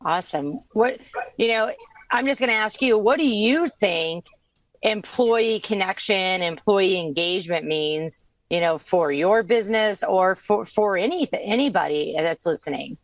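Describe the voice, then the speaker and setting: A woman's voice: medium pitch, unhurried, no room sound. Woman host on a podcast, kinda losing her voice, chatty and upbeat, super dry close sound. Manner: chatty, enthusiastic, thinks aloud with fillers. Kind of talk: casual podcast chat.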